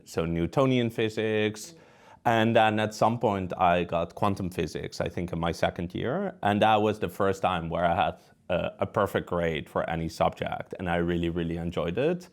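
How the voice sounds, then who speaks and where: Deep-voiced Dutch man explaining things. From Netherlands. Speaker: interview guest, researcher and professor. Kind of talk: conversational interview answers, explanatory.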